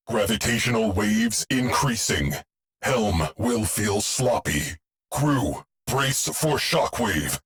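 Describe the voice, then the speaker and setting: Low, slow, stern starship AI. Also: male voice. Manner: clipped, deadpan orders in short fragments. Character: terse military starship AI, male, stern and stoic. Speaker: ship's tactical AI officer. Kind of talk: video game mission callouts.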